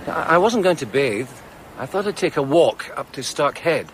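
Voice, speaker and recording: A man's voice: a little high, big pitch swings, moderate pace, a little hiss or hum. An actor playing an earnest, persistent ex-serviceman and amateur sleuth, courteous but probing, in a radio drama. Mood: probing, wry. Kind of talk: British radio drama acting.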